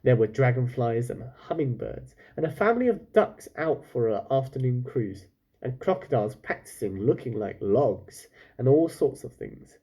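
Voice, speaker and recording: A man's voice: mid-range voice, sing-song, moderate pace, dark tone. A man reading a children's story aloud like a teacher, breaking off for casual questions and comments to his listeners.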